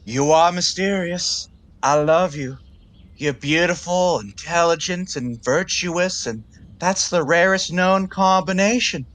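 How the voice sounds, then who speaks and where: A man's voice: high voice, takes it slowly, fairly bright tone. An actor playing an earnest, lovestruck, rather pompous young man in a 1920s comedy audio play, speaking in a florid, self-serious way.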